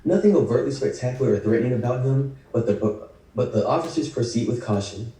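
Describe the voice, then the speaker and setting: A man's voice: mid-range voice, a little fast, dark tone. A man reading screenplay action lines as the narrator of a table read. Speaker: script narrator. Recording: very echoey room, distant mic.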